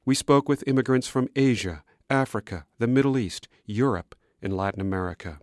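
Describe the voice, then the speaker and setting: Guy hosting public radio, reads the intro smooth and steady, dry sound. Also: mid-range voice, no room sound. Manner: measured, announcer-like delivery. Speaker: radio executive producer and host.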